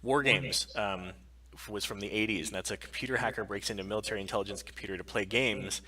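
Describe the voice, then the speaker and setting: A man's voice: on the low side, fairly even intonation, fast, bright, forceful tone, breathy edge, distant mic. A man co-hosting a casual pop-culture live stream, talking in a sweary, enthusiastic, geeky way. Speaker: podcast co-host on a live stream.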